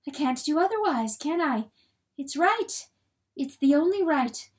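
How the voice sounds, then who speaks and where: A woman voicing a distressed, earnest and loyal hen wife in a storybook, with a pleading, halting manner. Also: high voice, lively intonation, far from the mic, pitched above the performer's own voice. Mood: pained resolve.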